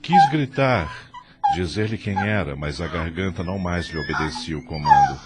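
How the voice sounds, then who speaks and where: A man's voice: deep voice, wildly swooping pitch, normal speed, very noisy. A man reading dramatic scripted narration as the narrator of an audio drama. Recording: loud music under the voice. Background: loud music.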